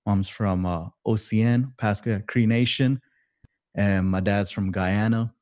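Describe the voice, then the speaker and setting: A young man chatting casually between songs of his livestream set, halting and rambling with many fillers. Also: fairly low pitch, fairly even intonation, darkish tone. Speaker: musician performing a livestream set. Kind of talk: casual between-song chat.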